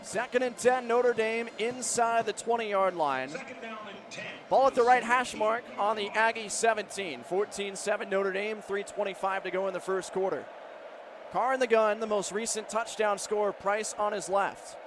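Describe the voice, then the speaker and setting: A high-pitched American man works as a radio football announcer. He describes each play crisply and builds to excited calls. Clear outdoor stadium noise runs behind his voice. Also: moderate pace. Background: outdoor stadium ambience.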